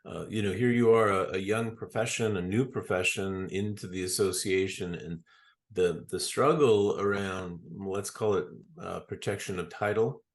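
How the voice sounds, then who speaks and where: A man hosting an interview, speaking in a halting way with many fillers and restarts. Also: deep voice, steady tone. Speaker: interview host. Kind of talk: casual interview.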